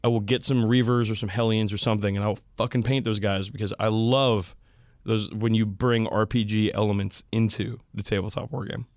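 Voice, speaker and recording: A man's voice: fairly low pitch, fairly even intonation, a little fast, no room sound. Male podcast co-host, enthusiastic and sweary casual hobby talk, dry close recording. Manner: enthusiastic, profane. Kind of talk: casual hobby chat about tabletop wargaming.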